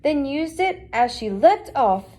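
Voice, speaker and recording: A woman's voice: sits high, big pitch swings, a little slow, warm tone. A woman reading a children's picture book aloud as scripted narration. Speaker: storybook reader.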